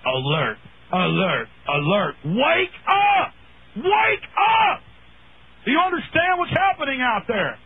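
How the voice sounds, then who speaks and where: A man playing an excitable radio announcer, shouting drawn-out, over-the-top intro lines. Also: very high voice, narrow, tinny audio, slight background noise, heavily acted. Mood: frantic alarm. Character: excitable radio jingle announcer whooping up the show. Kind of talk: hyped radio station intro. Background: loud music.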